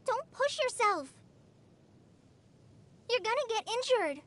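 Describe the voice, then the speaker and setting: A woman's voice: very high voice, expressive, slow pace, fairly bright tone, heavily acted. A woman voicing an earnest, easily flustered teenage girl, a trainee forest ranger in a video game, with a hesitant, eager manner. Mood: earnest, determined. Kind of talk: video game character voice lines.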